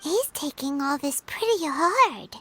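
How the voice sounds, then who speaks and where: A woman voicing a tiny, chirpy fairy companion in a game, with a high childlike voice and a chatty, bouncy way of talking. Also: animated, slow, sharp tone, full character voice. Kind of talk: game voice acting. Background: game music.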